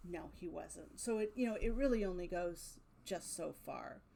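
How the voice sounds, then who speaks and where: A woman's voice: on the low side, normal speed, vocal fry, dark tone. A woman giving casual, unscripted commentary as a video host, thinking aloud with wry asides. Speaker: video host reviewing old radio drama.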